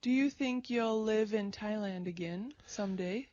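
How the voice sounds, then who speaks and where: Woman, slow pace, clear simple phrasing, dull lo-fi recording. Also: medium pitch, darkish tone, no room sound. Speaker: conversation partner in English lesson. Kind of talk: slow, simple conversation for learners.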